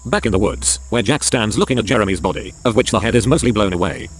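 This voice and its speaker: A man's voice: fairly low pitch, fast talker. A man reading scripted narration of dramatic action scenes as a storyteller.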